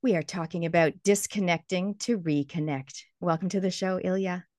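A woman's voice: lowish voice, moderate pace, a little mellow, dry recording, clean studio sound. A woman hosting a podcast interview in an upbeat, enthusiastic way, from New Zealand. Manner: bubbly and enthusiastic. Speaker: podcast host.

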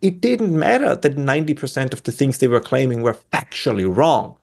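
A man's voice: mid-range voice, big pitch swings, a little fast, creaky, a little mellow. A man with an Austrian German accent, a guest in a conversational discussion who speaks thoughtfully and often restarts his phrases while he searches for words.

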